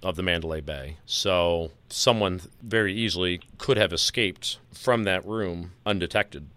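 Male podcast host, low register, serious and measured analysis, recorded dry. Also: sharp tone, clean tone, no room sound. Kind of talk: analytical discussion of audio clips.

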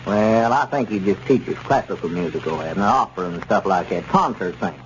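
On a slightly noisy, dull old radio recording, a fairly low-voiced store owner. He sounds like the level-headed, easygoing one in a country comedy, readily amused. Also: expressive, brisk. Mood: sensible. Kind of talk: 1930s radio comedy acting.

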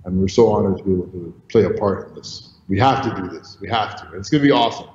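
A man born in Egypt and raised in New York, giving energetic, rambling answers in an interview. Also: sits low, quick pace. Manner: energetic, rambling, repeats words. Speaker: crypto company founder. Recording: roomy, echoey, dull audio with faint noise.

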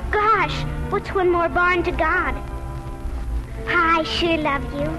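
A woman's voice: very high voice, slow pace, over music. A female performer playing an earnest, curious little farm girl in a 1940s radio drama. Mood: earnest, loving. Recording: noisy old 1940s radio broadcast.

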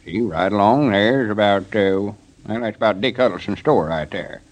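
An actor playing a proud, folksy small-town storekeeper and schemer with a rural Southern US accent, in 1930s radio comedy style. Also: male voice, fairly low pitch, normal speed, natural voice. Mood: proud, explaining. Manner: folksy, self-satisfied explaining.

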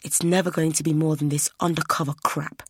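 Hurt young man, quiet and sad, voiced by a woman narrator; dry close sound. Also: low voice, flat delivery, fairly quick, husky, no echo. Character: hurt young man pleading with his secret lover. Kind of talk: audiobook narration.

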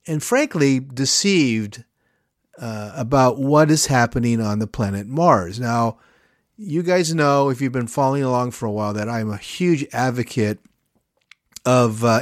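Male podcast host, chatty and enthusiastic, rambling with fillers, mid-pitched, dry close mic. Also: no echo. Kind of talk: interview and show promotion.

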